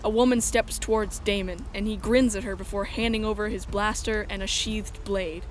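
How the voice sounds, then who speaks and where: A woman's voice: mid-range voice, fairly even intonation, moderate pace, slight background noise. A woman reading scripted first-person story narration as the narrator of a drama.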